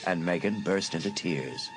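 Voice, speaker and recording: A man's voice: low-pitched, normal speed, music in the background. A man narrating a suspense story as a dramatic, scripted audiobook.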